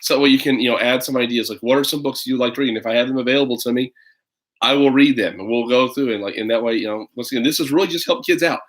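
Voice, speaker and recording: A man's voice: medium pitch, very fast. A man in his thirties chatting casually and reading a children's storybook online, rambling and hesitant with playful asides. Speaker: parent reading a picture book for children online. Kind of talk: casual livestream chat and children's storybook read-aloud.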